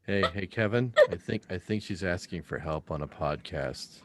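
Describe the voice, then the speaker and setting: A man's voice: deep voice, moderate pace, no echo. A man hosting a podcast in a casual, playful group chat, full of jokey exclamations. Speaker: podcast host.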